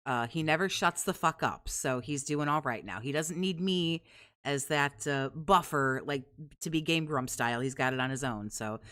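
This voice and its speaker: A woman with a low voice, co-hosting and commenting casually in a blunt, sarcastic, profane way. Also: normal speed, a bit bright, clear, resonant tone. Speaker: co-host reacting to stream.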